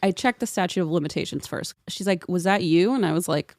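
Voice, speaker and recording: A woman chatting casually and telling personal stories in an animated, self-mocking, informal way on a podcast. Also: on the low side, fast, no room sound, clean studio sound. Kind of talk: casual comedic storytelling chat.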